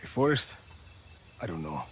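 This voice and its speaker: An actor playing a cocky, nonchalant rebel action hero in a video game, with a wry, laconic manner. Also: male voice, low voice, sing-song, normal speed, a little hiss or hum. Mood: weary, wry. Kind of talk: video game cutscene acting. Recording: music behind the voice.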